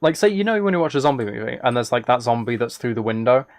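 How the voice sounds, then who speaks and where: A man's voice: mid-range voice, expressive, quick pace. A man live streaming a game, rambling and thinking aloud as he chats casually with viewers. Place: indoors at a computer, keyboard clicks behind.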